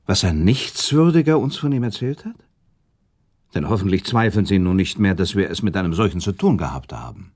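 A man's voice: sits low, expressive, fairly quick, a little mellow. A man playing a noble, sceptical prince in a German radio play, arguing insistently and reasoning with rhetorical questions. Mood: firm, insistent.

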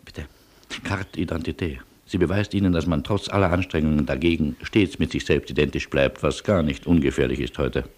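An actor in a radio play playing a weary, courteous refugee with a wry, resigned way of talking. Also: male voice, a bass voice, a little mellow. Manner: wry, resigned, polite, deliberate. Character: weary, ironic male refugee, nervous but courteous.

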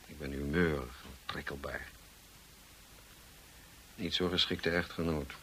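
An actor playing a moody, brusque gentleman husband in a radio drama. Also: very deep voice, steady tone, a little slow, slightly rough, full character voice, low-quality recording. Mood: regretful, tender. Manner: curt, brusque. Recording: hissy radio drama recording.

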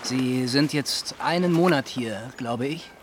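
An actor playing a courteous, self-assured Regency gentleman suitor in a radio play, with a polished, persuasive, formal manner. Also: mid-range voice, slow pace, a little hiss or hum, lightly acted. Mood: solicitous. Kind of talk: period radio drama acting.

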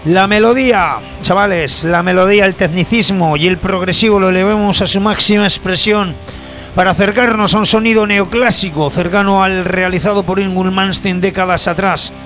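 A man with a notably high voice and a Spain accent presents a rock music show. He talks in a casual, colloquial, jokey way, with guitar music playing behind him. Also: steady tone, normal speed, fairly bright tone. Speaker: music radio show host.

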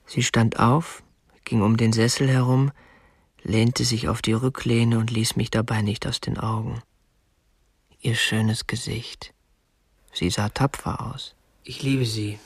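An actor in a radio play as an awkward, obsessive, prim young kidnapper, speaking and narrating in a stiff, restrained way with slight huskiness. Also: male voice, medium pitch, level tone, slow pace, pauses often, slightly rough, dry recording, studio quality. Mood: brooding, confessional. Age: young adult. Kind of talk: radio drama acting, first-person narration and dialogue.

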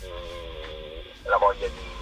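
A young man explaining his theatre work in an interview, haltingly, restating phrases as he searches for words. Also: low voice, steady tone, pushed and bright, soft background music, poor audio. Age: young adult. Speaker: theatre maker being interviewed.

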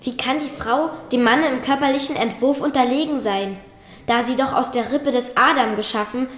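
A woman's voice: on the high side, natural voice. Woman playing a defiant, clever girl, echoing room. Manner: articulate, combative, argumentative. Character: bright, defiant young girl in medieval times. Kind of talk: German radio drama acting.

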